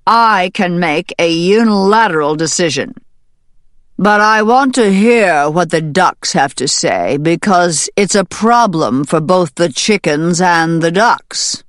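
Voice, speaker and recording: A pompous male rooster, voiced by a woman narrator makes slow, weighty announcements. Dry, close sound. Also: fairly low pitch, no room sound, voice pushed up.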